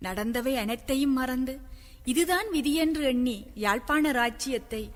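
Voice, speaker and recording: Woman playing high-voiced, devoted queen. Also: moderate pace, close to an everyday voice. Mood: pleading. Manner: formal, pleading. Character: devoted, anxious queen counselling her husband the king. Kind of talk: theatrical historical radio drama acting. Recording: long hall-like reverb.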